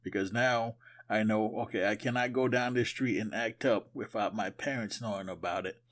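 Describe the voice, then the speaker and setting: A man's voice: on the low side, steady tone, moderate pace, a bit bright. A man from Haiti co-hosting a podcast, talking casually in a conversational, storytelling way. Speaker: podcast co-host. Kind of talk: casual chat.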